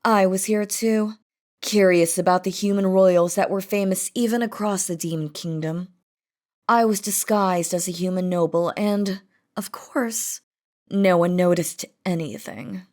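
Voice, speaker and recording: Woman playing a slow-spoken, imperious demon queen. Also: medium pitch, fairly even intonation, a little mellow, natural voice. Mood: wistful, seductive. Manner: haughty, theatrical, slow and deliberate. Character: imperious, possessive, lovestruck demon queen kidnapping her beloved. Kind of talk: scripted roleplay audio drama.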